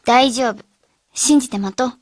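A woman's voice: a little high, moderate pace, lightly acted. A woman playing an earnest, hopeful schoolgirl voicing inner thoughts in a radio drama. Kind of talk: radio drama acting and monologue. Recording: long reverb on voice.

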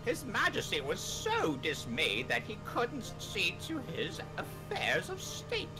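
A woman's voice: medium pitch, huge pitch swings, music in the background, rough recording. A woman voicing a teasing royal minister in a fantasy video game, drawing out the words. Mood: amused. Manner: slow, drawn-out.

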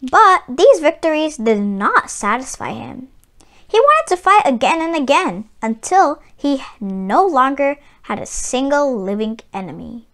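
A woman reading a children's picture book aloud, with expressive, deliberate phrasing. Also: high voice. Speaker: storyteller and read-aloud channel host.

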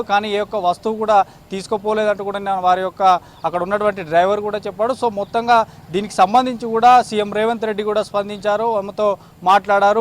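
Very high-pitched male reporter, halting, outdoors. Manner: halting, repetitive, with English words mixed in. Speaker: field news reporter. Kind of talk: unscripted live news report. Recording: faint outdoor street and traffic noise.

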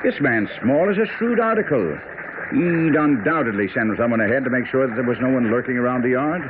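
Man as brisk detective, self-assured, precise, commanding, radio play, heavy noise, phone-like band. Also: mid-range voice, animated, lightly acted. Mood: cool, commanding. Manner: precise, authoritative, clipped. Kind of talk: radio drama acting. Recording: tinny, noisy old radio broadcast.

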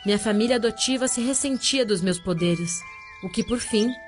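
An actress playing a brooding, free-spirited Amazonian sorceress, narrating an audio drama in a measured, literary manner. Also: female voice, on the high side, over music, natural voice. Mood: solemn, mysterious.

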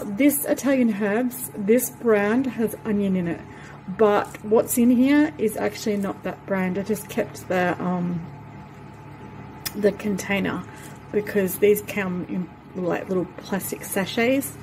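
A woman's voice: medium pitch, slow pace, a little mellow, a little hiss or hum, low-quality recording. A woman, chatting casually to a phone camera in her home kitchen, rambling with many fillers. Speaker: vlogger. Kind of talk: casual vlog talk. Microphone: handheld phone camera.

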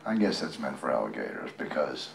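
A man's voice: rumbling low, very animated, a little fast, creaky. A man reading a picture book aloud to a group, chatty and folksy, with rambling ad-libbed asides to his listeners. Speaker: guest storyteller, tribute performer. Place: public library reading event. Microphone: handheld microphone. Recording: roomy, echoey, distant microphone pickup.

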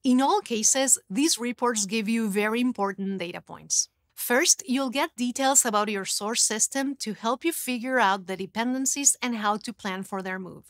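A woman's voice: fairly high pitch, moderate pace, no echo. Woman presenting a scripted tech lesson. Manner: clear, even, instructive delivery. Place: studio. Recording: dry studio sound.

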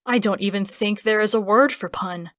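A woman's voice: mid-range voice, normal speed, fairly bright tone, dry recording, clean studio sound, natural voice. Woman as a chatty, proud builder. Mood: pleased. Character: chatty, proud fantasy builder who loves puns. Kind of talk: audiobook character acting.

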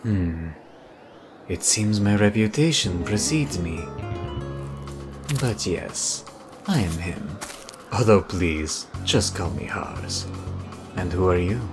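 Man playing a low-voiced, cocky master sorcerer. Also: animated, slow pace, long pauses, heavily acted. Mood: self-assured, formal. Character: cocky, formal master sorcerer, a grown man eager to duel. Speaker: amateur voice actor. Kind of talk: fantasy audio drama acting. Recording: music behind the voice.

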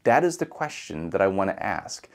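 Lively Midwestern pastor. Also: male voice, low-pitched, moderate pace, no room sound, studio quality. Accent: Midwestern American. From Nebraska. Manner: conversational, chuckles, repeats words when restarting. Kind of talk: online sermon to camera. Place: outdoors beside a brick building. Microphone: camera at close range.

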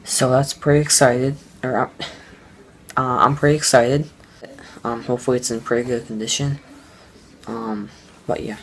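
A teenage boy talks straight into a close phone camera at home, mid-pitched and easygoing. He wanders through his plans with plenty of ums and uhs. A faint household hum sits under the voice. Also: fairly even intonation, moderate pace, long pauses, poor audio. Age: teens. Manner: rambling, many ums and uhs, offhand. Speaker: vlogger. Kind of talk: casual vlog talk to camera.

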